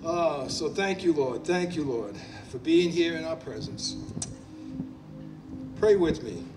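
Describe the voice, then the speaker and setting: A man's voice: fairly high pitch, music in the background. An older man with a New Jersey accent leading an earnest public prayer outdoors at a podium mic. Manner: slow, earnest declaring. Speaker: prayer leader at a public gathering. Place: outdoors on city hall steps. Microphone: podium mic on a stand. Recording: echoey outdoor PA from a distance.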